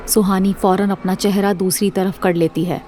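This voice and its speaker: A woman's voice: medium pitch, level tone, normal speed, dark tone, faint music behind. A woman reading scripted narration for an audio drama series.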